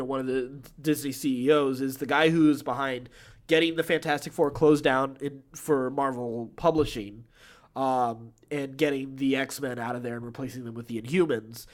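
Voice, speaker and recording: A man's voice: mid-range voice, fairly even intonation, moderate pace. A man co-hosting a podcast, chatting casually about film news. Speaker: podcast co-host.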